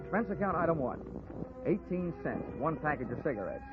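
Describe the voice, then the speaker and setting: An actor playing a wisecracking hard-boiled American insurance investigator in a 1940s radio drama, with a dry, sardonic, clipped manner. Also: male voice, a little high, sing-song, brisk, music in the background. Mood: wry. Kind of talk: 1940s radio acting, first-person detective narration. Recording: tinny old radio recording.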